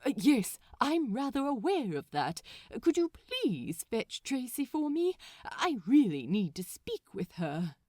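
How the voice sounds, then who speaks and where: A prim, polite gentleman played by a woman narrator in an audiobook. He is anxious and hesitant, with a very animated pitch and pleading manner, recorded dry. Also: slow, hardly any pauses, no room sound, full character voice. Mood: polite, nervous. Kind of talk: fan fiction audiobook character voice.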